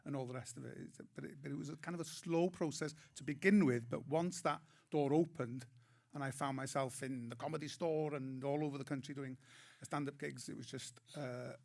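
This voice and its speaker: A man's voice: medium pitch, steady tone, fairly quick, creaky, a little mellow. A middle-aged Welsh man with a Welsh accent, a comedian speaking as a panel guest, self-deprecating and prone to restarting his sentences. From Wales. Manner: self-deprecating, repeats words when starting sentences. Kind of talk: panel discussion.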